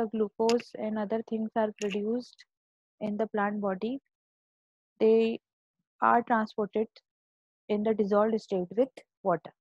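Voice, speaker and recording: A woman's voice: a little high, a little mellow, no echo, lo-fi sound. A woman teacher giving an online biology lecture, explaining step by step and often repeating or restarting words.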